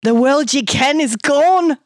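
A woman's voice: high voice, takes it slowly, no echo, studio quality, voice pushed up. A woman doing a fervent Scottish man. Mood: forlorn. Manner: archaic, ominous, earnest phrasing.

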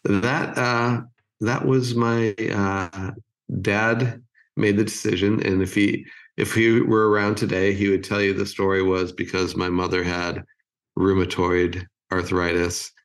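A man in his fifties from California with an American accent, chatting as an interview guest in a halting, thoughtful way. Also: lowish voice, level tone. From California, United States. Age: 50s. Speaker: interview guest, creative agency chief. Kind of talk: casual interview chat.

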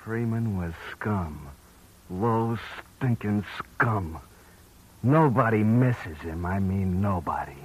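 A jumpy, menacing gangster heavy from an old radio drama. His voice runs a little low and he snarls his threats. He sounds ready to shoot. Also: expressive, slow pace, slight background noise, rough recording. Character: menacing male gangster henchman, tough and jumpy. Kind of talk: 1940s radio acting.

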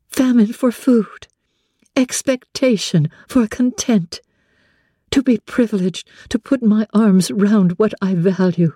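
A woman performs an earnest young woman in love for a classic audiobook. Her voice is lifted high and breathy, softly respectful and tender, with unhurried phrasing. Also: no room sound, studio quality. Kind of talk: dramatic audiobook reading of classic literature.

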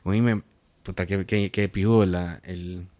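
A man with a Honduran accent hosting a casual conversation, slangy and halting as he repeats words while thinking. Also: low voice, steady tone, darkish tone, no echo. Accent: Honduran Spanish. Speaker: podcast host.

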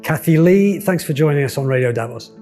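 Measured male radio host. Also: a little high, animated, darkish tone, faint music behind. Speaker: podcast host. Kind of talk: radio interview and scripted intro.

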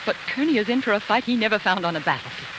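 An actress playing a spirited, fiercely loyal colonel's wife and devoted daughter in an impassioned, theatrical 1940s radio drama style. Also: female voice, mid-range voice, animated, very fast, background noise, close to an everyday voice. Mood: defiant. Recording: 1940s radio broadcast with music.

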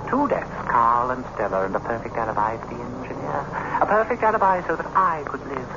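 A dull, noisy vintage radio recording of an actor as an unhinged, vengeful husband. He is theatrical and deliberate, gloating coldly, with a highish voice that swings widely in pitch. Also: expressive, close to an everyday voice. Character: vengeful, unhinged husband coolly narrating his perfect murder. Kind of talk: old-time radio suspense acting.

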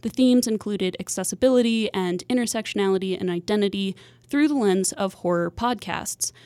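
Woman, mid-pitched podcast host. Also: a little fast, dark tone. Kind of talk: scripted introduction and statement.

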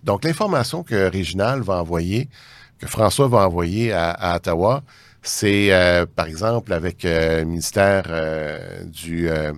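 A man with a Canadian French accent speaks in a fairly low voice, recorded close and dry. He explains things as an official being interviewed, hesitating and restarting as he works through his sentences. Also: a little slow, no echo. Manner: hesitant, circling back mid-sentence. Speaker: tax ombudsman interviewed as guest. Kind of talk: unscripted explanatory interview talk.

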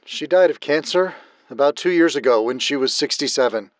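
A man's voice: on the high side, expressive, brisk, no room sound, close to an everyday voice. An actor playing a nervous, self-conscious man calling a radio show, hesitant and earnest in a naturalistic audio drama. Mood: uneasy, earnest. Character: nervous, hesitant male radio caller, grieving son.

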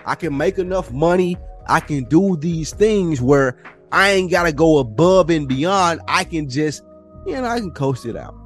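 A man's voice: on the high side, animated, measured pace, soft background music. A man hosting a casual podcast, talking in an emphatic, slangy way and acting out quoted thoughts.

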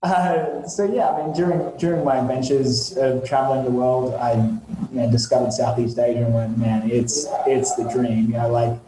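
A man's voice: mid-range voice, steady tone, normal speed, hardly any pauses, noisy background. Laid-back Australian man, echoey room. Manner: laid-back, swears casually, acts out his own past remarks. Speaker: guest, SEO company CEO. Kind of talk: casual interview chat.

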